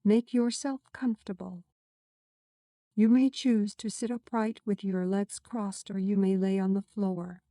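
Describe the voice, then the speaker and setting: Woman, meditation narrator, dark relaxed tone, scripted, soothing measured delivery, dry close sound. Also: medium pitch, no echo.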